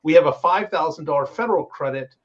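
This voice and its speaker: A man with a fairly high voice hosts a casual discussion show. He talks in an explanatory, conversational way. The sound is a little dull, like call audio. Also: fast talker. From Canada. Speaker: show host.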